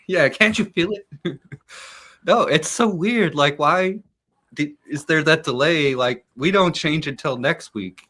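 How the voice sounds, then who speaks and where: A male host with a bright, slightly high voice, chatting loosely in an interview. He is excitable and swears freely, and laughs a lot. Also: unhurried. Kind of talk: casual interview chat.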